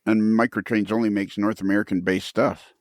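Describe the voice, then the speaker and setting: A man's voice: on the low side, flat delivery, a little fast, dry recording, clean studio sound. A man hosting a casual interview, bantering in a blunt, teasing way. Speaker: podcast interviewer.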